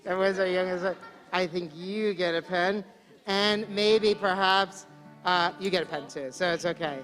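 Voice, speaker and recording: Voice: medium pitch, steady tone, normal speed, a bit bright. An upbeat, encouraging service leader guiding a family prayer service in a synagogue sanctuary. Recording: long reverb hall with music behind.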